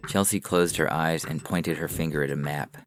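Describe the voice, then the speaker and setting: A man's voice: rumbling low, fairly even intonation, moderate pace, slight background noise. A man reading scripted science fiction narration as a storyteller.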